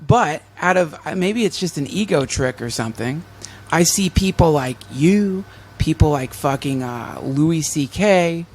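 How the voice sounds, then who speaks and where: A man's voice: fairly high pitch, animated, unhurried, a little mellow, slight background noise. A male comedian hosting a podcast in a casual, rambling, self-deprecating way, swearing often and restarting his sentences.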